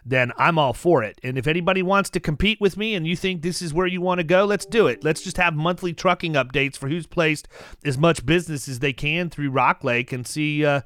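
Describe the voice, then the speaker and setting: A man hosting a casual podcast, talking animatedly with many restarts and making pitches to his listeners. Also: a little fast, fairly bright tone, no echo. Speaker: podcast host.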